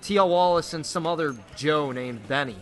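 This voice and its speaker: Guy playing a nosy polite young bar owner, bright higher voice, radio play. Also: normal speed, lightly acted. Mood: curious, polite. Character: polite, inquisitive young speakeasy proprietor. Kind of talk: old-time radio drama acting.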